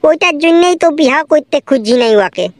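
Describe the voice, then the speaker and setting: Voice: sits high, lively intonation, brisk, no room sound. A performer voicing a hot-tempered, self-pitying cartoon villager who complains and blusters in a rural Purulia accent. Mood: grumbling. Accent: Purulia, rural western Bengal. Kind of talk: comedy cartoon dialogue in rural dialect.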